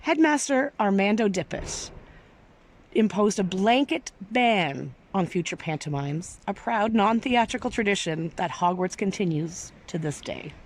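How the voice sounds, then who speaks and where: A woman's voice: medium pitch, animated, measured pace. A woman reading a story aloud expressively, with careful, theatrical phrasing. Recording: clearly noisy background.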